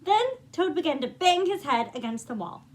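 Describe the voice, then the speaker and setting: A high-voiced woman tells stories to children with lively swings of pitch. She is playful and chatty, speaking to her young listeners as friends. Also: normal speed. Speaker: children's storyteller and show host. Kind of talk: animated storybook reading and chat to young viewers.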